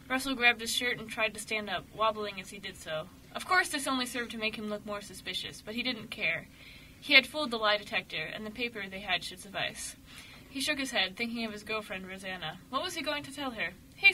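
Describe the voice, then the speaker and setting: A woman narrating a scripted radio story dramatically, with expressive, emphatic delivery. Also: fairly high pitch, rough recording. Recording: faint noise, amateur radio show recording.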